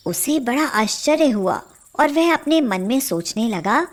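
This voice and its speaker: High-pitched, unhurried woman storyteller. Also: expressive. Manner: measured storytelling. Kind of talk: scripted Hindi fairy tale narration.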